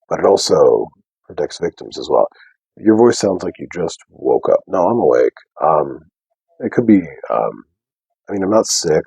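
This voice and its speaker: A young man with a deep bass voice, talking softly and casually to viewers on a live stream. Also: gravelly, breathy edge, no echo, rough recording. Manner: soft, unprojected speech. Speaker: livestream host. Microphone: wearing a mic.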